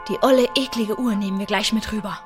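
An actress playing a practical, headstrong yet tender young working-class wife in a radio play, speaking directly and matter-of-factly. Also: female voice, medium pitch, fairly even intonation, fast, over music, natural voice. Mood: indignant, determined.

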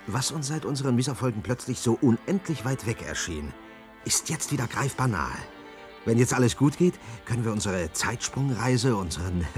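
An actor playing a wry, excitable scientist who lectures and hesitates by turns in a radio drama. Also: male voice, medium pitch, lightly acted. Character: excitable male scientist and inventor, wry, professorial. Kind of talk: 1970s radio drama dialogue.

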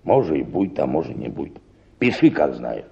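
An actor playing an insolent, stubborn middle-aged peasant choir singer in a radio drama, gruff and mocking. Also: male voice, very deep voice, very animated, a little fast, warm tone, muffled recording, full character voice. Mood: surly, mocking. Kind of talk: Russian radio drama acting.

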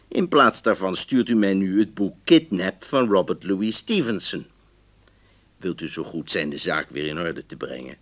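A man's voice: on the low side, a bit bright. An actor playing a polite, increasingly exasperated man reading out his formal complaint letters in a radio drama. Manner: formal, emphatic.

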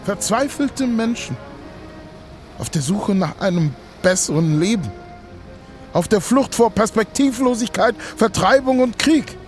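An actor playing an earnest, impassioned sea rescuer in a theatrical monologue, speaking deliberately with dramatic pauses. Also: male voice, high-pitched, animated, faint music behind. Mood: grave, urgent. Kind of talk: theatrical stage monologue, satirical revue.

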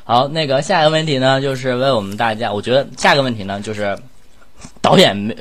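A man's voice: mid-range voice, fast, a bit bright. A man who works as a voice actor, hosting a casual cast Q&A with playful banter. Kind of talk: casual group chat, reading out questions.

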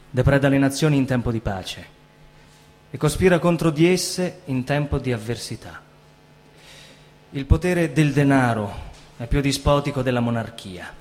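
A man declaiming a written text as a stage reader. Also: medium pitch.

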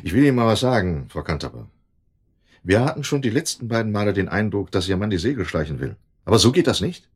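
An actor playing a slick, evasive shady dealer in a radio drama, speaking in a condescending, sardonic, talkative way with a husky voice. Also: male voice, on the low side, sing-song, fast. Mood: brusque, impatient. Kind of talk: German radio drama acting.

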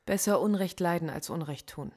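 An actress playing a philosophy professor under interrogation in a radio play, answering in short, cool, guarded replies. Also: female voice, on the low side, fairly quick, darkish tone. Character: composed female philosophy professor, stubborn and proud. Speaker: suspect in interrogation.

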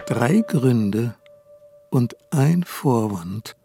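A man narrating a radio play in a measured, deliberate way. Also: mid-range voice, animated, over loud music. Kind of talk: scripted narration.

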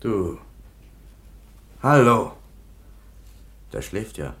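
An actor playing a tired, matter-of-fact police commissioner in a radio play. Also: male voice, fairly low pitch, sing-song, very slow, fairly bright tone, heavily acted. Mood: stern.

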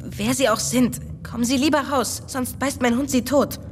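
An actress playing a wary, tough teenage kid, cool and challenging, in a German radio drama. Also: female voice, high-pitched, fairly even intonation, moderate pace, very noisy, close to an everyday voice.

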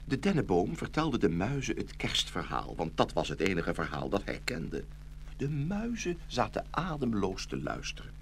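A man narrating a children's fairy tale in an expressive scripted storytelling style. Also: on the low side, moderate pace, gravelly. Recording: faint background noise.

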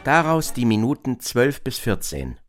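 A man presenting scripted radio narration in a measured, deliberate way. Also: medium pitch, very animated, faint music behind. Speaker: presenter and performer.

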